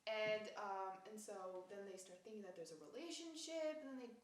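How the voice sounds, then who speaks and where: Relaxed woman singer, roomy and distant. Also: medium pitch, normal speed, a little hiss or hum. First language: Japanese. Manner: easygoing, laughs along, many fillers. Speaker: singer, interview guest. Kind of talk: casual interview chat. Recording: echoey room, mic at a distance.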